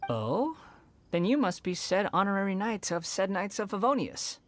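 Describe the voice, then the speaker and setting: A woman voicing a polite young male vagrant in an anime game, formal and measured in courtesy. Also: mid-range voice, sing-song, slight background noise, close to an everyday voice. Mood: smooth, courteous.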